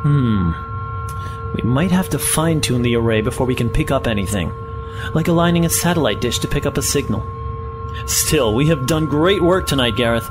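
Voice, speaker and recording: An actor playing a scheming, self-important professor in a science fiction audio drama, with formal, theatrical diction. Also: male voice, medium pitch, lively intonation, normal speed, close to an everyday voice. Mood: smug excitement. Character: scheming, self-important male professor, smoothly feigning innocence. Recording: loud music under the voice.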